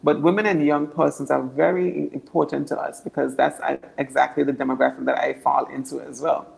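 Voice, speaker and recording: A young woman from Anguilla with a naturally deep voice, answering interview questions earnestly and often repeating words as she builds her points. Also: steady tone, a little fast, gravelly, a little mellow, rough recording. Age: young adult. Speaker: political candidate.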